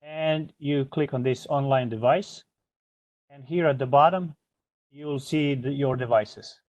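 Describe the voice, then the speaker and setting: A man's voice: medium pitch, darkish tone, no room sound, dull audio. Man giving a hesitant, informal tech walkthrough. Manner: informal, hesitant step-by-step explaining. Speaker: product trainer. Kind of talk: software tutorial demonstration.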